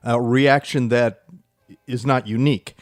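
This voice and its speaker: Male actor guest, seventies, medium pitch, agreeable and halting explainer in casual conversation. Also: fairly even intonation, no echo, studio quality. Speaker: local actor, podcast guest. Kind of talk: casual explanatory interview talk. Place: indoors at a small theatre.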